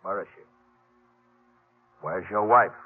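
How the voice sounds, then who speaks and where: An actor playing a cold outlaw gang boss in a 1950s radio western, curtly barking orders with menace. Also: male voice, low voice, takes it slowly, husky, soft background music, heavily acted. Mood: menacing. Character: cold commanding male outlaw gang boss posing as sheriff. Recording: muffled, noisy 1950s radio recording.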